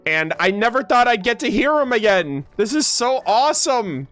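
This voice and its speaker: Excitable, slangy woman streamer. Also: a little high, normal speed, no room sound. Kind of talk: casual gameplay reaction commentary. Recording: faint game music behind the voice.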